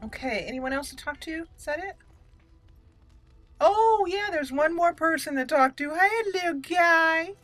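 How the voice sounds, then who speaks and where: A woman's voice: extremely high pitch, measured pace, fairly bright tone. Woman doing roleplay game commentary, music behind. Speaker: let's play host. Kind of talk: casual game roleplay commentary, reading dialogue in character.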